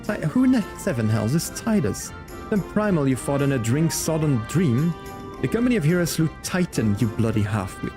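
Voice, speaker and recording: A man voicing a gruff, suspicious, foul-mouthed chief from a game, scornful and challenging. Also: on the high side, big pitch swings, normal speed, over music. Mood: scornful, angry. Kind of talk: reading game dialogue aloud in character.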